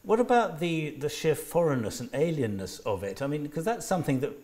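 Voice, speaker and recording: A man interviewing a guest, asking probing, measured questions in an academic conversation. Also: a little high, big pitch swings, a little mellow.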